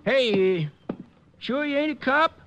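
An actor playing a laconic, suspicious old boat landing keeper, terse and folksy, in an old radio drama. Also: male voice, very high voice, expressive, unhurried. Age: older. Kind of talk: 1950s radio drama acting. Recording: noisy, dull old radio recording.

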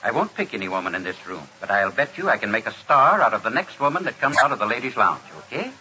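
An actor playing a smooth-talking, scheming Broadway producer who tells his story theatrically in old-time radio drama style. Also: on the low side, sing-song, fast talker, pushed and bright, slight background noise, heavily acted, poor audio. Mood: cocky. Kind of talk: old-time radio drama acting with first-person narration.

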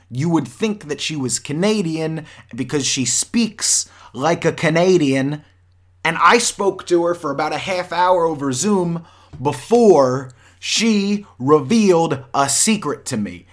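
A young man hosts a casual podcast, swinging from easy storytelling into excited outbursts, swearing freely and laughing often. His voice sits a little higher than most men's. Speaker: podcast host.

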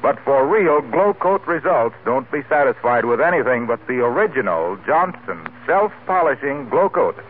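A man's voice: mid-range voice, sing-song, moderate pace, few pauses. An American man working as a 1940s radio announcer, reading scripted introductions and advertising copy. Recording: tinny, hissy 1940s radio broadcast.

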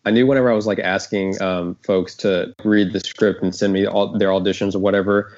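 Deep-voiced man hosting, lo-fi call. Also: fairly even intonation, fairly quick, a little mellow. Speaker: host and audio drama creator. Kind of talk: casual interview chat. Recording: dull lo-fi call audio.

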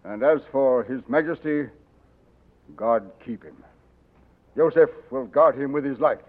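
An actor playing an older, loyal colonel who speaks in a grave, commanding way, in 1940s radio acting. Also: male voice, medium pitch, measured pace, lightly acted. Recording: tinny old radio broadcast.

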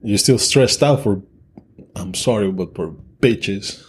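A man's voice: fairly low pitch, slow pace, a little hiss or hum. A man co-hosting a podcast, talking bluntly and crudely in casual chat, joking with his co-host. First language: Spanish.

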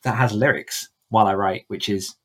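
A man's voice: lowish voice, steady tone, normal speed, a bit bright. A man chatting casually as a podcast guest, explaining his thoughts in a reflective way. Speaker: podcast guest, author coach. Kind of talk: casual interview chat.

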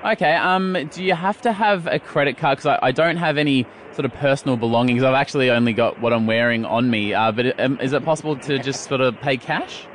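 Australian man hosting, mid-pitched and rambling. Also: fairly quick, hardly any pauses, fairly bright tone, slight background noise. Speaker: podcast and radio host. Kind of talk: casual comedic chat.

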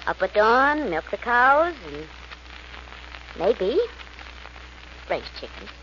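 A woman's voice: on the high side, sing-song, takes it slowly, sharp tone, heavily acted. An actress playing a detective's plucky, playful girlfriend in 1940s radio drama style, with a coy, breezy manner. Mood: dreamy, playful. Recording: muffled, noisy old radio recording.

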